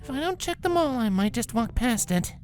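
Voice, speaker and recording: A man's voice: very high voice, very animated, brisk, faint music behind, pitched above the performer's own voice. A man voicing a vengeful young female cartoon pony in a storybook reading, sarcastic and muttering to herself. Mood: grumbling.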